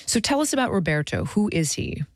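A woman's voice: mid-range voice, big pitch swings, fairly quick, no room sound, studio quality, faint music behind. A woman hosting a news podcast, putting questions to a guest in a conversational interviewing style. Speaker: host asking questions.

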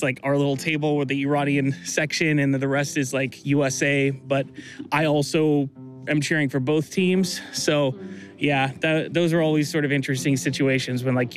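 A man in his thirties with an American accent, casually reminiscing as an interview guest in a halting way. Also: medium pitch. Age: 30s. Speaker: interview guest, soccer fan.

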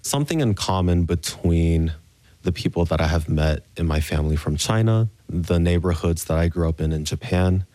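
A man from the southern United States giving thoughtful, measured and articulate interview answers as a musician. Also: deep voice, mellow, no room sound. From Memphis, Tennessee, United States. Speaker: concert pianist being interviewed.

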